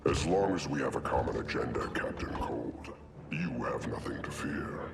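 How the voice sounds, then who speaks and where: A man's voice: a bass voice, lively intonation, normal speed, creaky, heavy background noise, exaggerated voice. A man voicing a huge, arrogant gorilla warlord in a game cutscene, speaking in a grandiose, imperious way. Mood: commanding. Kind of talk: video game cutscene acting. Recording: loud music behind.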